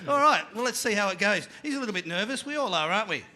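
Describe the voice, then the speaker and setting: A man with an Australian accent hosting a live panel show, energetic and jokey, calling out to a crowd in the venue. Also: high voice, very fast.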